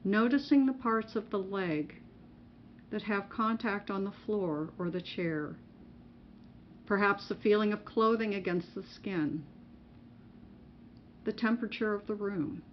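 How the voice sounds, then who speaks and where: A woman narrating a guided meditation as a mindfulness teacher, in measured, instructional phrases with pauses between them. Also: medium pitch. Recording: muffled recording with faint background noise.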